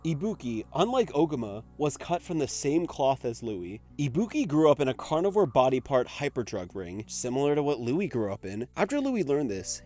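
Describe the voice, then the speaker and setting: A man with a slightly high, very animated voice delivers a video essay. His commentary is informal and emphatic, with light music behind him. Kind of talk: scripted commentary on an anime, conversational.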